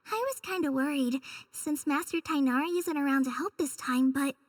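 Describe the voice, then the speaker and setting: High-voiced, bashful teenage ranger girl voiced by a woman. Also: moderate pace, natural voice. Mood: relieved. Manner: sweet, bashful, slightly flustered politeness. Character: modest, earnest, eager teenage girl forest ranger. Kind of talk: anime-style game voice acting.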